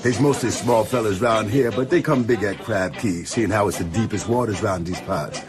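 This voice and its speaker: A man's voice: lowish voice, moderate pace, over music, lightly acted. A man acting in a radio drama as a loyal, easygoing island fisherman sidekick, with a Caribbean accent and a folksy, deferential manner. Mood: cheerful.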